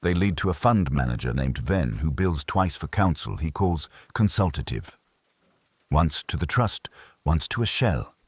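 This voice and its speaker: Man reading as a shrewd, defiant woman, very deep. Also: slightly rough, no room sound, heavily acted. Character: shrewd, unrepentant professional woman in a detective story.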